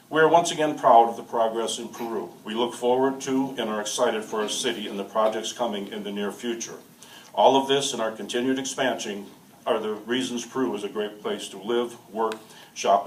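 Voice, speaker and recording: A man reading a prepared opening statement as a mayoral candidate at a public forum. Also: on the low side. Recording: echoey hall from far off, faint noise.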